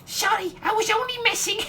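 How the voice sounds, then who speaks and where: A woman playing a cheeky, bawdy, booze-loving mature lady in a comedy audio play, giggly and brash. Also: very high-pitched.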